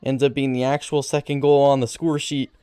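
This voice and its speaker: A man's voice: mid-range voice, little pitch movement, a little fast, mellow, clear, resonant tone, dry recording. A man giving sports commentary as a match announcer.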